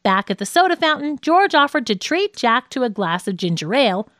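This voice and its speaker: Woman, audiobook narration, expressive reading, highish pitch, big melodic swings, dry sound. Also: a little fast, no echo. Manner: reads quoted radio dialogue expressively.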